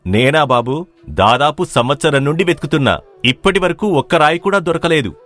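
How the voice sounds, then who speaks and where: A man's voice: fairly high pitch, lively intonation, brisk, a bit bright. A man playing a humble, hopeful, long-struggling village stone hunter who talks deferentially in a moral story. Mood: humble, resigned. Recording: music playing behind the voice.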